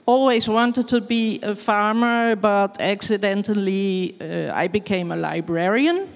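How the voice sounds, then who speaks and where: A woman from the Netherlands with a Dutch accent, giving a slow, hesitant presentation in English with many fillers. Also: medium pitch, creaky. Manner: hesitant, searching for words in English. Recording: long hall reverb.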